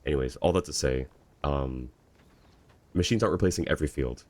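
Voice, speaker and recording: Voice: rumbling low, fairly quick, darkish tone. An easygoing livestreamer chatting casually while reacting to a game and viewers. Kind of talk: casual game stream chat.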